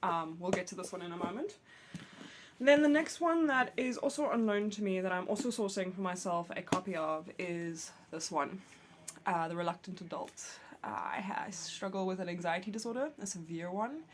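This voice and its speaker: Woman vlogger, lower voice, a bit phlegmy from a cold, casual and chatty, faint hum behind. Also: moderate pace. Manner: chatty, candid, restarts and swears casually. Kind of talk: casual talk to camera. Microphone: camera mic, reading with books on her lap.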